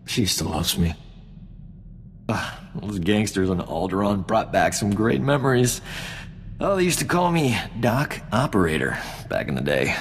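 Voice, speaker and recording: An actor playing a cocky, flirtatious, boastful rogue doctor in a sci-fi video game, glib and smooth-talking. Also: male voice, lowish voice, very animated, a little slow, long reverb tail, a little hiss or hum. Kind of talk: video game dialogue acting.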